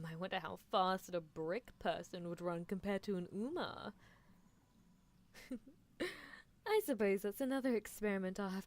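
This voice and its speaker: Casting: woman to play female mad scientist for ASMR roleplay, eccentric, gleefully menacing yet doting; unhurried, highly melodic, theatrical teasing. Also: a little mellow. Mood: musing, amused. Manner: theatrical, musing, drawn-out teasing delivery. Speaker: streamer performing a character roleplay. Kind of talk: live ASMR character roleplay, improvised replies to listeners.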